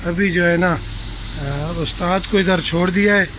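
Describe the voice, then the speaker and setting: A Punjabi-accented man in his thirties chats to his viewers while strolling an outdoor market, talking into a wired earphone mic. His voice sits a touch high for a man. Loud traffic and street noise run under everything he says. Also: steady tone, a little slow. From Pakistan. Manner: chatty, greets passersby while walking. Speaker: vlogger. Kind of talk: casual walking vlog.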